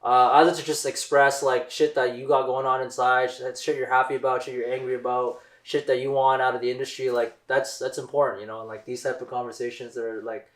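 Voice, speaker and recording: A man's voice: mid-range voice, flat delivery, a little fast, hardly any pauses, reverberant. A young Canadian man, a guest DJ, chatting casually on a podcast and filling his talk with "like" and "you know". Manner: laid-back, lots of "like" and "you know".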